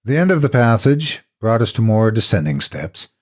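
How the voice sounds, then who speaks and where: A man narrating a scripted horror story in a theatrical, deliberate and ominous manner. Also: fairly low pitch, sing-song.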